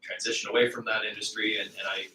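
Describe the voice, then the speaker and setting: A man's voice: fairly low pitch, flat delivery, fast talker, pushed and bright. A man, a project manager, introducing himself to a group hesitantly with many fillers. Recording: echoey room, distant, faint noise.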